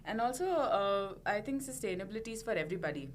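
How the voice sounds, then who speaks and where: A woman's voice: medium pitch, animated, quick pace, slight background noise. A woman with an Indian accent, a sustainability manager answering conversationally as an interview guest.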